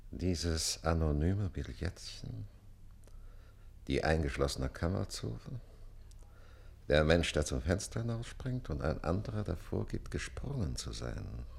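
A man playing a haughty, jealous nobleman in a theatrical radio play, speaking imperiously and sometimes dropping into slow muttering. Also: creaky, breathy edge, exaggerated voice. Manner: imperious, swinging from booming questions to slow muttering. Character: haughty, jealous, lustful nobleman count and master.

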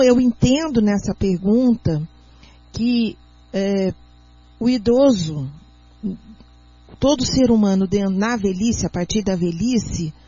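A Brazilian Portuguese-accented woman with a husky, breathy voice and a mid pitch, explaining things in an earnest, teacherly way. The recording is muffled and lo-fi, like radio audio. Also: warm tone, no room sound. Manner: earnest, teacherly, reads aloud slowly. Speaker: guest speaker, doctor.

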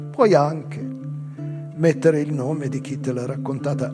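An older male actor playing a weary, melancholy old man confessing a past crime in a halting, raspy theatrical monologue. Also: mid-range voice, lightly acted. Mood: solemn. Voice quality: raspy, husky, breathy. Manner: halting, slow, dramatic pauses. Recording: live music behind the voice.

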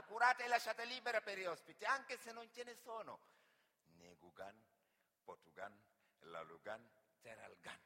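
A man from Senegal with a Senegalese accent, an actor and griot performing a dramatic, declamatory theatrical monologue. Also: high-pitched. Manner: dramatic, declamatory, swinging between raised voice and hushed delivery. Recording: reverberant hall with long echo.

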